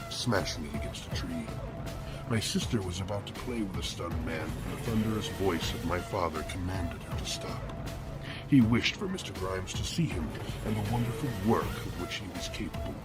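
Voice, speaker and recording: A deep male actor's voice as a cold, macabre killer telling his own horror story. He is formal, ornate and deliberate, with a cool menace. Loud music runs underneath. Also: animated. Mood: macabre relish. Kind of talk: scripted first-person horror narration.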